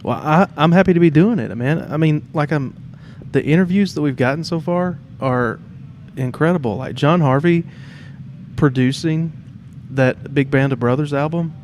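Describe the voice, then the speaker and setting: A man's voice: on the high side, moderate pace, darkish tone. Casual male podcast host, noisy background. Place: shared studio space in a theater. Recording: clear background noise.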